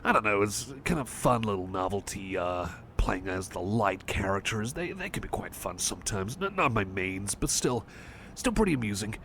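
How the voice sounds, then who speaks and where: A man's voice: lowish voice, sing-song, normal speed, a bit bright, slight background noise. A man playing a shy, friendly cyborg chum in an ASMR roleplay, hesitant and rambling, often apologising and repeating himself. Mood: chatty, apologetic. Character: shy, friendly male cyborg chum, eager but self-doubting. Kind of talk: ASMR personal-attention roleplay, soft one-sided conversation.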